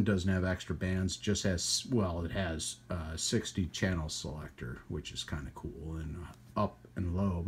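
A man hosting a hobby radio channel in a casual, rambling hands-on demonstration, with folksy trailing asides and a husky voice. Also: low voice, darkish tone, a little hiss or hum. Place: indoors at a radio workbench.

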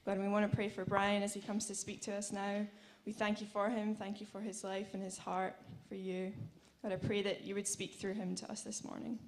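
A woman's voice: mid-range voice, completely flat delivery, a little fast, hardly any pauses. A woman leading a spontaneous spoken prayer in church, earnest and repeating phrases of thanks.

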